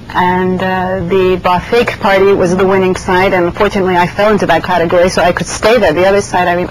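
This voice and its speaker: A woman who grew up in Iran, being interviewed and recalling her past in a reflective, hesitant way, with many pauses and restarts. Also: medium pitch, slight background noise, low-quality recording. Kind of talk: oral history interview.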